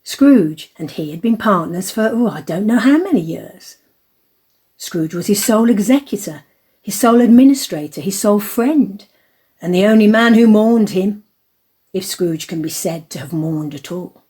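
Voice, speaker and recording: A woman narrating an audio play in a deliberate, emphatic storytelling manner. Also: mid-range voice, expressive, warm tone, breathy edge.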